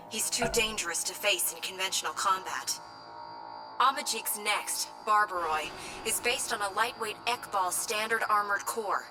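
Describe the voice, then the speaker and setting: A woman's voice: medium pitch, close to an everyday voice, poor audio. A woman playing a composed, businesslike military operator who guides a pilot through missions in a video game. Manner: crisp, businesslike, instructive. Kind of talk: video game mission briefing and combat radio guidance. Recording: game music loud behind the voice.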